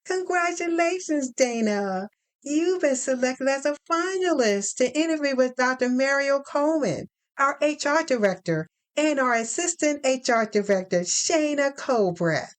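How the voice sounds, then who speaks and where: A woman acts out a bubbly female recruiter in an audio fiction drama. Her voice sits high and swings brightly through each phrase. She sounds chirpy yet professional, like office phone manners turned all the way up. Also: lively intonation, unhurried. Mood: bright, congratulatory.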